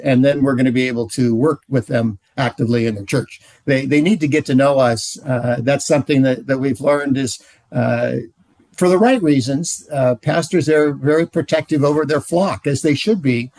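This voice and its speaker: A man leading a marriage ministry, explaining in a plain, earnest way with many pauses and "uh" fillers. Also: medium pitch, a little mellow, dry recording. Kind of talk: training session talk.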